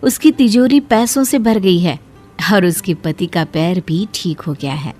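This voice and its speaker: A woman narrating a moral cartoon story in a steady, clear storytelling manner. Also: fairly low pitch, unhurried, faint music behind.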